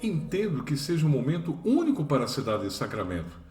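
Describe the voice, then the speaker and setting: A man's voice: fairly high pitch, very animated, fast, darkish tone. A man playing a courteous, formal small-town colonel acting as host and moderator in a religious radio drama. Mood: genial. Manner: formal, affable. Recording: echoey room, distant, music behind.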